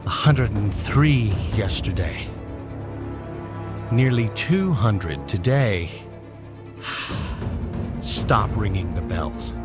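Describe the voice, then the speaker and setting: A man plays a weary, grave official in an audio drama. He speaks extremely slowly in a low voice, with loud music behind him. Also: expressive. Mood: weary, grim.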